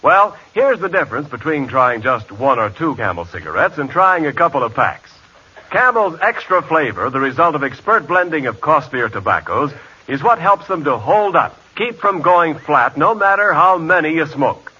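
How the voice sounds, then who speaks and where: Man, vintage radio announcer, forceful, emphatic, slightly muffled old recording. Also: on the high side, big pitch swings, normal speed, husky. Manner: emphatic, polished salesmanship. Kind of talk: 1940s radio advertising and announcing.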